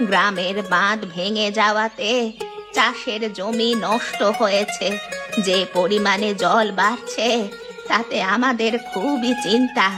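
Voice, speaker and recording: A woman's voice: medium pitch, lightly acted. Woman doing a sorrowful old village grandmother, music behind. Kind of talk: dubbed cartoon dialogue.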